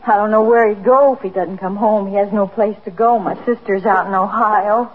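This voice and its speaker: Through a dull, hissy old radio recording comes a worried middle-aged housewife played by a woman. She speaks earnestly and hesitantly at a medium pitch, remorseful and pleading, in the manner of 1950s radio drama. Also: steady tone, fairly quick, natural voice. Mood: anxious.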